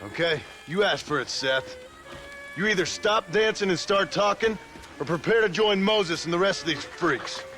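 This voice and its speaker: An actor playing a stern, impatient middle-aged cowboy gunslinger in a western video game, gruff and terse, with an American Western drawl. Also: male voice, high-pitched, normal speed, sharp tone, soft background music, low-quality recording. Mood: angry warning.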